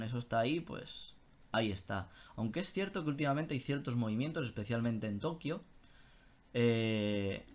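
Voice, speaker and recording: A young man chatting casually on a live stream, answering viewer questions in a loose style with swearing and self-interruptions. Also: medium pitch.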